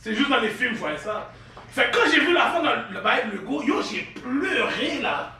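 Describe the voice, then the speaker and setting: A man co-hosting a podcast, joking loudly and mixing in English slang, often laughing. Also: very high voice, very animated, normal speed. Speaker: podcast co-host. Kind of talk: loud joking banter. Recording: echoey room, distant mic, noisy.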